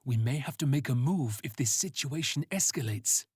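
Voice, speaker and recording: Man acting sci-fi agent, terse, watchful, low-key, direct, dry close sound. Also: animated, moderate pace, a little mellow, breathy edge, dry recording. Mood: wary.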